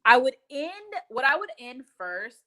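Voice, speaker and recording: A woman from Texas chatting casually in an animated, opinionated, emphatic way, swearing freely. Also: high-pitched, a little slow. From Texas, United States. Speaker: live stream host. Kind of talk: casual hangout chat.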